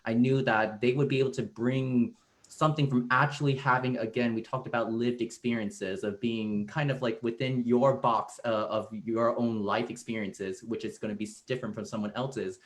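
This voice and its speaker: A man speaking as a stage director in a casual post-show discussion, thoughtful and halting as he searches for words. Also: medium pitch, fairly even intonation.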